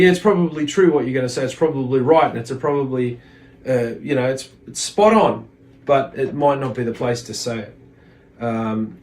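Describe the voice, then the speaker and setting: A man's voice: medium pitch, a little mellow, a little hiss or hum, low-quality recording. A man giving an informal religious lesson, reading aloud and explaining in a hesitant, reflective manner. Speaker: religious teacher.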